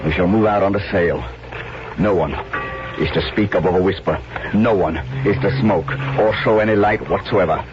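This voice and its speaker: Man acting a deep-voiced, commanding military colonel. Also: over music, close to an everyday voice. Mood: commanding, tense. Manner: commanding, formal, deliberate. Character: stern, resolute middle-aged male Confederate colonel and ship commander. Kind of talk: 1970s radio drama acting. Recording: muffled, noisy vintage radio recording.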